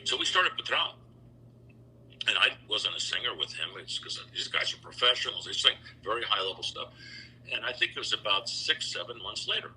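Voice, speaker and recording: Middle-aged guy chatting on a video call, rambly with lots of ums, really bright lower voice, faint hum behind. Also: brisk, rough recording. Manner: relaxed, rambling, with many fillers and restarts. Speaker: interview guest, founder of a choral institute. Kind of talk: casual storytelling interview.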